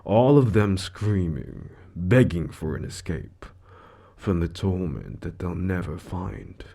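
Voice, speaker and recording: A man's voice: sits low, animated, slow pace, creaky, mellow, heavily acted. A man voicing a suave, gloating devil lord in an audio roleplay, theatrical and condescending, savoring his words as he toys with a mortal. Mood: smug, boastful. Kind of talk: scripted audio roleplay monologue.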